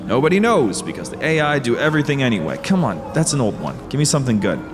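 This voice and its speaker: A man's voice: mid-range voice, sing-song, quick pace, in a large hall, music in the background, lightly acted. A man playing a cocky, teasing human super-soldier in a sci-fi audio drama, brash and bantering. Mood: teasing, amused.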